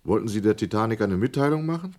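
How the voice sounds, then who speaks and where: An actor playing a male committee chairman in a radio drama who swears in witnesses and questions them in a measured, official tone. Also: lowish voice, animated, darkish tone, natural voice. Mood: formal. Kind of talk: 1980s radio drama acting.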